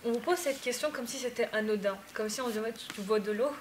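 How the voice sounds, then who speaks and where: A woman from France giving personal testimony at a public discussion, halting and somewhat exasperated. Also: fairly high pitch. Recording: faint room noise.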